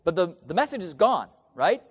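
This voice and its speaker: A man's voice: high voice, sing-song, moderate pace, breathy edge. A man preaching a sermon, reading scripture aloud and asking the congregation questions they answer back. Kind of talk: sermon with call and response. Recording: long reverb of a large hall.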